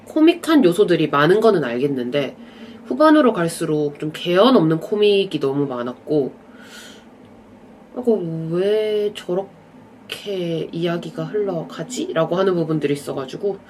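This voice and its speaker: A young adult speaker with a slight cold talks to camera at home, their voice a little stuffy and nasal. The delivery is relaxed and chatty, and it wanders into small asides along the way. A faint background hiss runs under the recording. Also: mid-range voice. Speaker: vlogger reviewing films and dramas. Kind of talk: casual rambling chat to camera.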